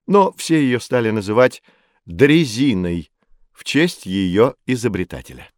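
A man playing a patient, knowledgeable teacher who explains with expressive storytelling in a children's educational audio drama. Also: lowish voice, a little slow, dry recording, clean studio sound. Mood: patient, explaining.

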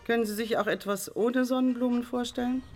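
A woman's voice: fairly high pitch, steady tone, a little fast, faint music behind, lightly acted. An actress playing a fussy, condescending florist who questions her customer in a radio drama. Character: fussy, condescending female florist making wreaths.

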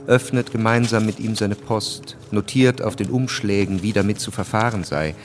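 A man narrating a radio drama, measured and literary in his storytelling. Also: on the low side, noisy background.

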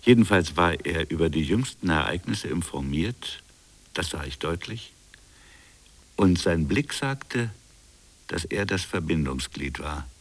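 A grave colonial official speaks in a very low register. He recounts things deliberately and solemnly, in the literary manner of a radio drama monologue. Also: lively intonation, heavily acted. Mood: grave, reflective.

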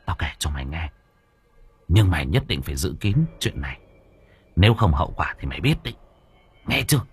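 A man's voice: sits low, very animated, fairly quick, slightly rough, pitched below the performer's own voice, full character voice. A man voicing a cruel, scheming old woman who talks hard and contemptuously. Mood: menacing.

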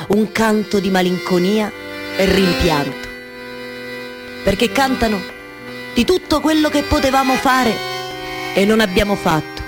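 An impassioned, wistful wife of ancient myth played by a woman in a radio theatre monologue. She has a Roman accent and circles back over phrases, halting and then surging, with music playing behind her. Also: mid-range voice, natural voice.

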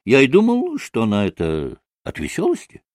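A man voicing an obsequious, giggly old provincial gentleman in a storybook, telling tales in drawn-out, servile phrases. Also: mid-range voice, huge pitch swings, dry recording, studio quality. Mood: chatty, sheepish.

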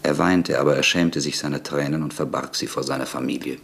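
Very deep, measured male narrator. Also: steady tone, vocal fry, over music. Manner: measured and grave. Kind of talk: scripted literary narration.

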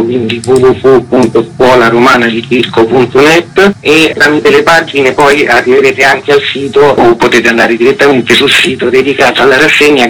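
A man's voice: mid-range voice, a bit bright, rough recording. Man explaining a programme in an interview. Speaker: festival co-artistic director, interviewee.

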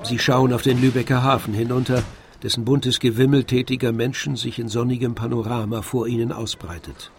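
A man narrating a literary radio drama from a script in a measured storytelling manner. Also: medium pitch, level tone, a little hiss or hum. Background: faint music.

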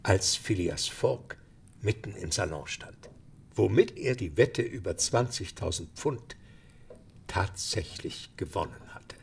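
A man's voice: deep voice, lively intonation, takes it slowly, gravelly, noisy background. A man reading scripted narration for a German radio play.

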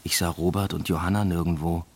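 A man's voice: sits low, fairly even intonation, fast, breathy edge. An actor playing a brooding, evasive father who narrates his own story in a literary radio drama. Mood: brooding, reflective. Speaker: narrator and lead character.

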